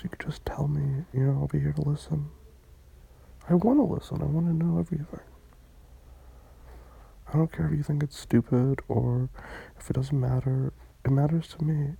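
A person playing a tender, protective romantic partner in an intimate comfort roleplay, speaking in hushed, soothing, breathy murmurs. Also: mid-range voice, full character voice, poor audio. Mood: gentle, soothing.

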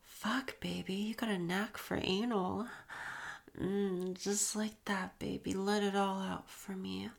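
A young adult woman performing an intimate audio roleplay in a soft, teasing whisper with breathy murmurs and quiet chuckles. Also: mid-range voice, level tone, unhurried.

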